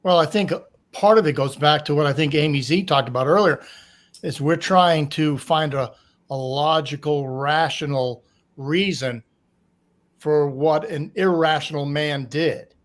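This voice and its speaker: A man's voice: fairly high pitch, measured pace. A man with a Boston accent speaking as a panel guest, offering his personal take in a casual discussion. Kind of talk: casual opinion commentary.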